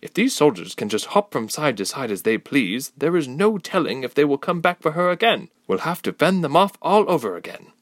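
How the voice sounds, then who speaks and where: A hard-edged warrior woman on a council, suspicious and accusing, as a male narrator puts her on. Her lines come out raised and lively, with a dry, close sound. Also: a little high, fairly quick, few pauses, dry recording, pitched above the performer's own voice. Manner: sharp, accusing. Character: stern suspicious bald female warrior.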